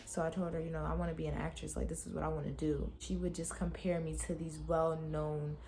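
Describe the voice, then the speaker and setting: Low-voiced young woman, a bit raspy. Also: level tone, fairly quick, mellow, soft background music. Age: young adult. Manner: candid, emotional, talks straight to viewers. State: raspy throat from a cold night. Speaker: vlogger. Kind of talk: personal reflective vlog. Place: her room.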